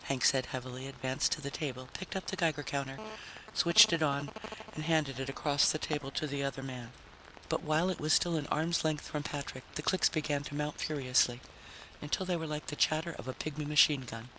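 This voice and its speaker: A man's voice: fairly high pitch, steady tone, brisk, pushed and bright, rough recording. A man narrating a story in a dramatic, scripted style. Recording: faint background noise.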